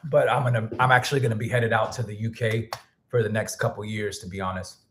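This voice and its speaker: A man hosting a casual music livestream, rambling loosely with many restarts and thanking viewers, a little hoarse as he starts losing his voice. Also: on the low side, steady tone, quick pace, background noise. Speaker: music producer and livestream host.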